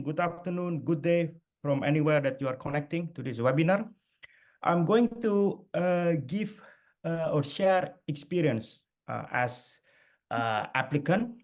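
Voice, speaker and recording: A man's voice: on the high side, a little mellow. A middle-aged man from Indonesia giving a webinar talk over a video call, with many fillers and restarts. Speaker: guest speaker. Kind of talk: webinar presentation.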